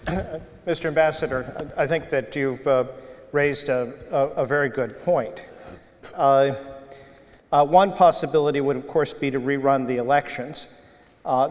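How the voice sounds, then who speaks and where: A man in his sixties, a debate panelist, giving formal, measured answers with many hesitations, on a lapel mic at a desk on a televised stage. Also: mid-range voice. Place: large televised debate hall with audience. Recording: long hall reverb.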